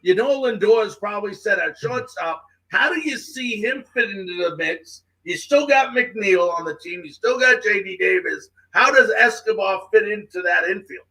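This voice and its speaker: A man's voice: high voice, expressive, moderate pace, few pauses, pushed and bright, low-quality recording. A man hosting a sports show, putting questions to his guest in an interview style.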